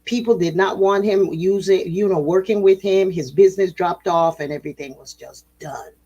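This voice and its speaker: A woman with a low, husky voice telling a traditional tale in a relaxed, conversational way. Her delivery is animated, with sudden jumps in pitch, little laughs and quick self-corrections as she goes. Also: lo-fi sound. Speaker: spiritual storyteller, host. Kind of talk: casual oral storytelling.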